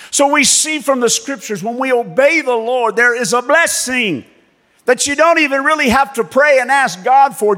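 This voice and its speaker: A man preaching a sermon as pastor and missionary, telling stories with animated delivery and acting out the people in them. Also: very high voice. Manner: animated storytelling preacher, acts out voices in quotes. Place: church. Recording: long reverb of a church hall.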